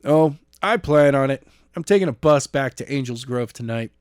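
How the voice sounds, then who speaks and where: A man's voice: medium pitch, expressive, normal speed, clean tone, dry recording, studio quality, lightly acted. A man reading the dialogue of a blunt, determined male character in a novel. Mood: blunt, resolved. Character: determined, blunt man bent on going back.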